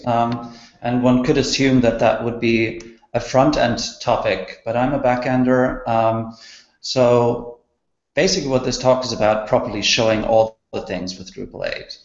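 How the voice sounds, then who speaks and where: A man's voice: mid-range voice, fairly even intonation, a little slow, darkish tone. A man giving an informal technical presentation as a developer. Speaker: back-end developer presenting. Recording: roomy, muffled online call audio.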